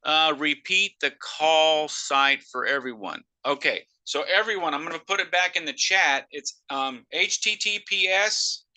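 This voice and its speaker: A man giving a loud, emphatic sales pitch on a live video call through a noise-cancelling microphone. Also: measured pace, hardly any pauses.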